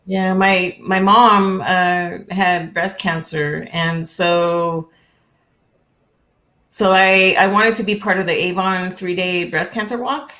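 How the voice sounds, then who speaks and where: A woman's voice: on the low side, fairly even intonation, slow, sharp tone. A woman from California, a guest in a live web interview, talking in a friendly, conversational way with frequent ums and small laughs over a video call.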